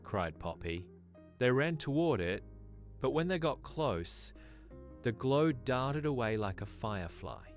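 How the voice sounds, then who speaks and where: A woman's voice: extremely low pitch, big pitch swings, measured pace, faint music behind. A woman narrating a scripted bedtime story for children.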